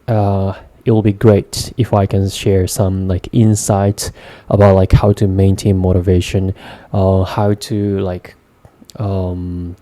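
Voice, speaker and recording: A young Japanese-accented man in his twenties talks through his own story in a casual vlog, pausing often with fillers and restarting words. His voice sits low and fairly even in pitch, with a dark, mellow tone. The sound is dry and close. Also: dry recording. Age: 20s. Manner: hesitant, many fillers and restarts. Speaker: vlogger.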